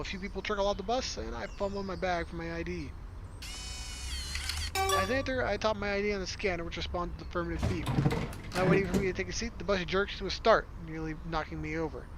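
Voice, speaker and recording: A man's voice: sits high, background noise. A man reading a visual novel aloud as a let's player, in a casual first-person manner. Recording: loud background music under voice.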